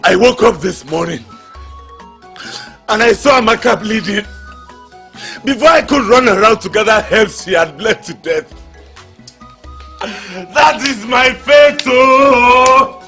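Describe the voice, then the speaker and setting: An actor with a Nigerian accent playing a grieving, then selfish widower in a drama, given to loud dramatic outbursts. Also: male voice, high-pitched, slow, long pauses, soft background music, heavily acted. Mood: wailing grief. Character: grieving then selfish Igbo widower, middle-aged man. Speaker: widower husband. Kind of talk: Nollywood drama acting.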